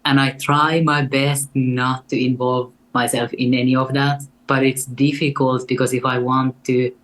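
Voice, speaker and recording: A young woman with a Finnish accent and a deep voice, speaking as a guest in an earnest, reflective way. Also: little pitch movement, normal speed, fairly bright tone, low-quality recording. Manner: earnest and reflective, with light laughs. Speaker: guest artist. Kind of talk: casual interview conversation.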